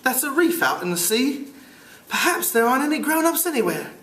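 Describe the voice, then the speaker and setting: A man's voice: very high voice, sing-song, brisk, a bit bright, echoey room, pitched above the performer's own voice, full character voice. A man voicing a cool, offhand English schoolboy with a put-on British accent while reading a novel aloud. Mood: offhand, solemn.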